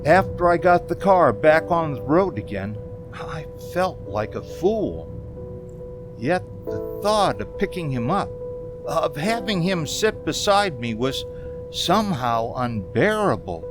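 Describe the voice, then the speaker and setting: A man playing a haunted, frightened driver who recounts an eerie road journey in a halting, stammering radio-drama style. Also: fairly high pitch, very animated. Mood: brooding dread. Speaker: amateur community theater actor. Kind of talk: dramatic first-person radio play narration. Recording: music under the voice.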